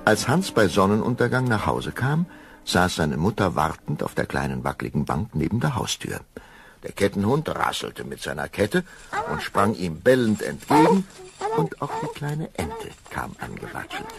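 A man narrating a scripted fairy tale as storyteller, expressive and unhurried, speaking directly to his listeners. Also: deep voice, creaky.

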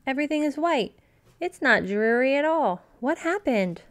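A woman's voice: high-pitched, lively intonation, moderate pace, pitched above the performer's own voice. A woman voicing a mild, curious young boy bear cub in a storybook, speaking with innocent wonder. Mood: delighted.

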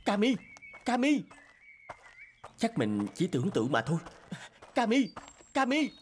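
A man voicing the male characters in a dubbed cartoon folk tale, with animated, emotional delivery. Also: high voice, measured pace, slight background noise. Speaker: voice actor doing all male parts. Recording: music bed under voice.

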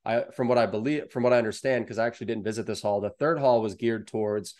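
A young American man with a fairly low voice. He speaks as a guest seller, laying out advice step by step in an explanatory interview style, recorded over a video call from an indoor room. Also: quick pace, a little mellow. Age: young adult. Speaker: guest, online wholesale seller.

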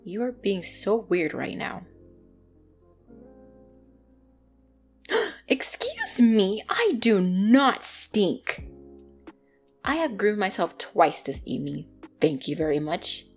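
Woman acting a bratty tsundere catgirl, very expressive. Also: medium pitch, slow pace, faint music behind. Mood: flustered, indignant. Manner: indignant, defensive, stammering when flustered. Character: bratty, flustered young female tsundere catgirl. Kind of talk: ASMR roleplay acting addressed to the listener.

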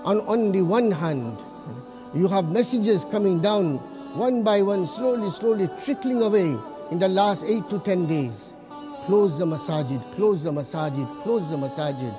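A male imam delivers a Friday sermon in a high, light voice. He preaches earnestly, reciting scripture quickly and repeating phrases to drive a point home. Soft music sits faintly behind him. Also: lively intonation.

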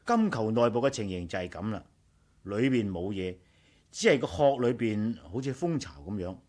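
An eager, animated scientist. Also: male voice, on the low side, moderate pace. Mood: explanatory, absorbed. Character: eager, confident male scientist, methodical and curious. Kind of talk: Cantonese radio drama acting.

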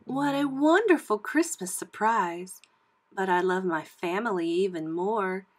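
A woman voicing a sweet, loving mother dog in a storybook, tender and drawn-out in delivery. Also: mid-range voice, close to an everyday voice. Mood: loving, happy.

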